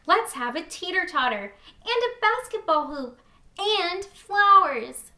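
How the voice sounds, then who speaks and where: A woman voicing eager, hopeful little girls in a children's storybook, with excited, pleading, sing-song emphasis. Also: very high voice, slow pace, echoey room, voice pushed up, exaggerated voice. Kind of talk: children's storybook character reading.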